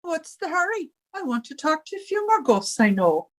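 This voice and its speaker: A woman's voice: sits high, very animated, moderate pace. A woman playing a theatrical, bossy older Irish widow and landlady in a radio comedy, given to wailing. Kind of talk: 1950s radio comedy acting.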